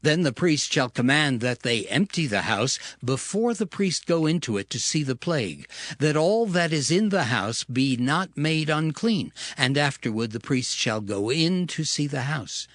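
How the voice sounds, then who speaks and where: A man narrating scripture in a measured, steady cadence. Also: fairly high pitch, no room sound. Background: music.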